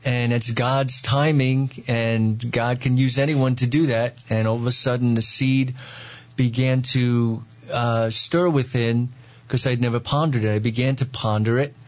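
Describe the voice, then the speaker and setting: A man with a New York accent, a priest, telling his story in an interview with many pauses and fillers. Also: mid-range voice, fairly even intonation, dull audio. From Long Island, New York. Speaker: priest, guest.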